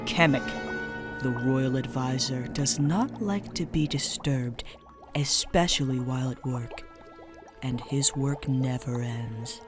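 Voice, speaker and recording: A man's voice: medium pitch, slow pace, over music. A man reading scripted storybook narration as the narrator of a dramatised tale.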